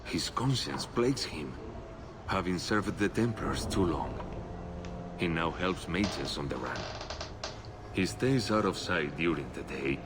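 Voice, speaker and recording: An actor playing a gruff, guarded male informant in a fantasy video game. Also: low voice, expressive, unhurried, pauses often, noisy background. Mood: guarded, confiding. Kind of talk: scripted video game dialogue.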